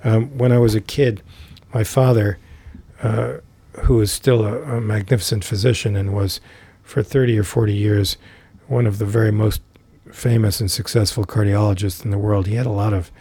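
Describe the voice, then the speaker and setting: Middle-aged male guest, warm and slightly husky, lowish voice, dry close mic, rambling storyteller. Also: steady tone, dry recording. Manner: unhurried, thoughtful, many fillers and restarts. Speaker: podcast guest, tai chi teacher. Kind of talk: reflective storytelling in conversation.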